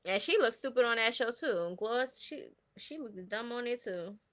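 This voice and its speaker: Bright-voiced, blunt New York woman. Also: fairly high pitch, lively intonation, normal speed. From New York City, United States. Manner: blunt, opinionated, slangy. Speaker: livestream host. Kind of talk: casual livestream chat, celebrity gossip commentary.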